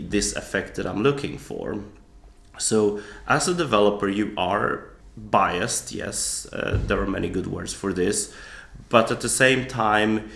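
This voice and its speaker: A man explaining software testing as a guest in a podcast interview, thoughtful, often restarting his sentences. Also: lowish voice, gravelly, roomy sound. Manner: thoughtful, with frequent restarts and fillers. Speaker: guest author.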